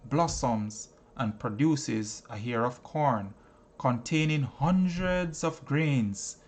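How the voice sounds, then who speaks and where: Slow, measured male audiobook narrator. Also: mid-range voice. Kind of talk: scripted audiobook narration of a self-help book.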